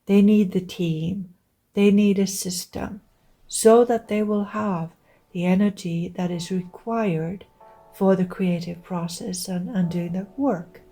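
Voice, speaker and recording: A woman's voice: mid-range voice, steady tone, takes it slowly, warm tone. A middle-aged woman from Finland with a Finnish accent, an artist speaking earnestly and heartfelt to camera, with faint music behind. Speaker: visual artist. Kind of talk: monologue to camera.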